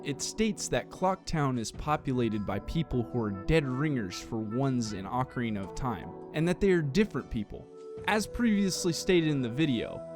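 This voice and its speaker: A man with a medium-pitched voice narrates a scripted video essay. He lays out his case in a measured, explanatory way, arguing point by point. Faint guitar music plays underneath.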